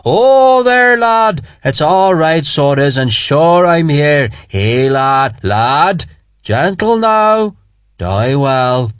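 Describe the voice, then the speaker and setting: A man voicing a rough but tender Irish army sergeant, with an Irish brogue and a lilting way of talking. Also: on the high side, animated, very slow, dry recording, studio quality, voice pushed up. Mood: coaxing loud.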